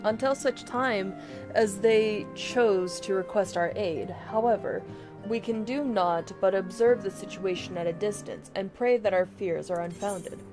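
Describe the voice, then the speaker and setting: A woman's voice: medium pitch, unhurried, lightly acted. A woman voicing a grave, formal scholar from a fantasy game, reading archaic dialogue aloud, with music behind her. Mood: grave, worried.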